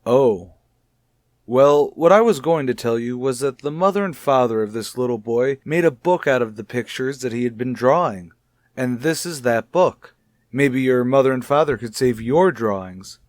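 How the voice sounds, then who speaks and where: Actor as male child psychologist, medium pitch, measured, soothing, gently questioning, tactful, radio soap drama, dry recording. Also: no room sound, natural voice. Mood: kindly probing. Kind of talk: old radio soap opera acting.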